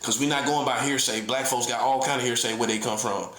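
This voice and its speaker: A man's voice: mid-range voice, steady tone, quick pace, fairly bright tone, reverberant. A man giving a casual comedic monologue as an online preacher, teasing his listeners, laughing often and acting out mock conversations. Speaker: self-styled prophet and online preacher.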